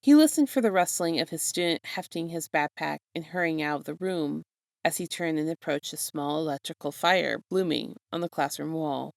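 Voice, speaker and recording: A woman narrates a story in a low voice with plenty of creak. Her scripted reading is expressive, and the sound is close and dry. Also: normal speed, dry recording. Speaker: narrator reading fan fiction.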